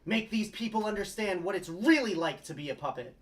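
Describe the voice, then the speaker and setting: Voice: medium pitch, expressive, fairly quick, natural voice. Someone reading earnest young lawyer guy, pushy, voice swings all over. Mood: rousing. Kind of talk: comedic table read.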